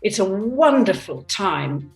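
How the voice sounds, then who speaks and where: A woman's voice: mid-range voice, sing-song, slow, gravelly. A woman in her fifties from Denmark and England, speaking candidly and thoughtfully in an interview with frequent restarts, over a computer video call from her seaside home. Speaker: product designer interviewed. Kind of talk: reflective conversational interview. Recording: roomy, distant from the mic, soft music behind.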